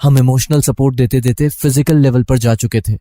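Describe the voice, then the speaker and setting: A man's voice: mid-range voice, steady tone, a little fast, dark tone, dry recording. A young man narrating a scripted first-person story as a college student.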